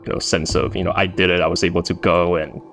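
Deep, gravelly man from Taiwan. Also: flat delivery, quick pace, music in the background. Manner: friendly, chatty, many fillers. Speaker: think tank director, guest. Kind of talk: interview answers.

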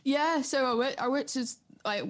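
A woman's voice: high voice, moderate pace. A young woman with a Geordie accent, talking casually as an interviewed DJ and telling anecdotes in informal, slangy speech. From North East England. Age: young adult. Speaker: DJ and music producer being interviewed. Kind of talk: casual chat.

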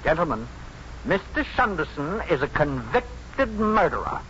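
An actor playing a pompous, accusing professor in a 1950s radio drama, speaking formally like a prosecutor. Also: male voice, on the high side, very animated, measured pace. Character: pompous, accusing male professor, prying and indignant. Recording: muffled, noisy 1950s radio broadcast.

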